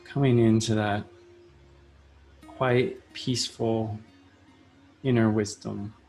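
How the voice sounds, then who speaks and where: A man leading a guided meditation in a soothing style, speaking in short, measured phrases with long pauses. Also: lowish voice, steady tone, rough recording. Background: soft plucked guitar music.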